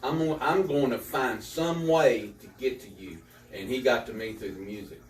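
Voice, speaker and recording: A man's voice: mid-range voice, moderate pace. Earnest man in his fifties, echoey room. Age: 50s. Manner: earnest, repeats words often. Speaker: author. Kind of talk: casual interview. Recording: echoey room, distant mic.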